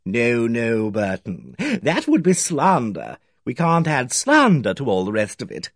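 A man reading aloud, voicing an effusive, fussy older male village gossip who talks in a theatrical, drawn-out way. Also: medium pitch, huge pitch swings, no room sound, studio quality, heavily acted. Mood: sly.

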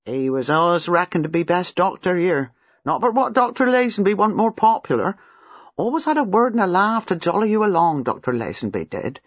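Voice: medium pitch, moderate pace, few pauses, dry recording, pitched above the performer's own voice, lightly acted. A narrator voicing an older male country gardener who rambles in rural dialect. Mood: gossipy. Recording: dull lo-fi dry recording.

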